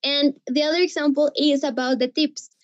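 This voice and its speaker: High-pitched female workshop participant, Mexican accent, Spanish speaker, halting delivery, lo-fi online call. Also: little pitch movement. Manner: hesitant, searching for words. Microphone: video call. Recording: dull, lo-fi video call.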